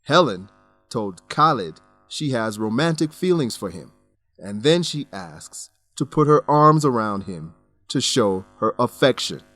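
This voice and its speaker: A man narrating a scripted English lesson, enunciating deliberately as he explains words and grammar. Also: medium pitch, very animated, fairly bright tone, dry recording, faint music behind.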